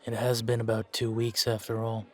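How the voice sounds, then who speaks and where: A man playing a gruff, world-weary fantasy monster hunter in an audio roleplay, wry and aloof, with a laconic, deadpan way of talking. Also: on the low side, normal speed, close to an everyday voice. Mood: dry, weary. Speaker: voice actor in fan-made audio roleplay. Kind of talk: slow in-character audio roleplay.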